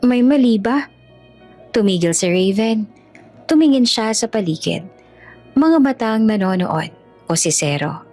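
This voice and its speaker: A woman's voice: mid-range voice, animated, a little slow, pauses often, a little mellow. A woman with a Filipino accent giving a dramatic scripted narration as a storyteller. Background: music.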